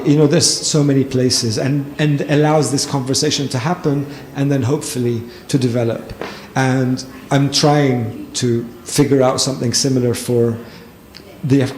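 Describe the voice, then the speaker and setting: A man's voice: medium pitch, darkish tone. A man answering an audience question at a panel talk, thoughtful, with frequent ums and restarts. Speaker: lecturer and panelist. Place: event hall with audience. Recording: echoey hall, faint noise.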